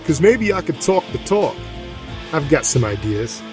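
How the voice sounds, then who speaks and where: An actor playing a brawny middle-aged gang enforcer and reformed drinker, talking in a folksy, swaggering way in an audio drama. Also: male voice, on the high side, big pitch swings, unhurried, music in the background. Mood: smug menace.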